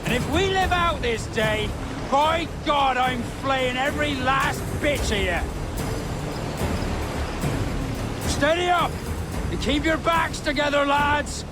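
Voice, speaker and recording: Male for game pirate captain: stern turncoat loyalist, high voice, old-fashioned sailor talk, music behind. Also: lively intonation, unhurried. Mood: fierce, rallying. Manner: formal, old-fashioned seaman's speech. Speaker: voice actor in a game. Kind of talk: dramatic period seafaring dialogue.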